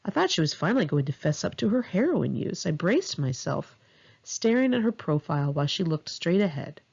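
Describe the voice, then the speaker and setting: American female memoir narrator, lively pitch movement, gravelly edge, confessional delivery, slightly dull home recording. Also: brisk. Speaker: author reading own memoir. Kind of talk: audiobook narration of a first-person memoir.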